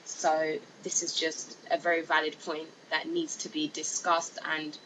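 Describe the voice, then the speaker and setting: A woman's voice: low voice, monotone, a little slow, a bit bright. A woman giving informal, hesitant opinion commentary as a video narrator, repeating herself with lots of filler phrases. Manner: hesitant, repetitive, frequent "you know" and "like". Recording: noisy amateur voice-over with clear hiss.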